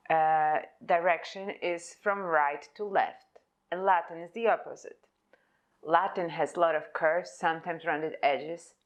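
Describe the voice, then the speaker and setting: Low-voiced young woman. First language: Polish. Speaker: conference speaker, type designer. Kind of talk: prepared conference presentation.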